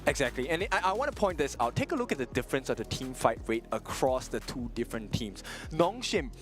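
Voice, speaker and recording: A man giving post-game esports analysis on a studio desk, talking loudly and animatedly and laughing easily. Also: mid-range voice, faint music behind. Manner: loud, animated, quick to laugh.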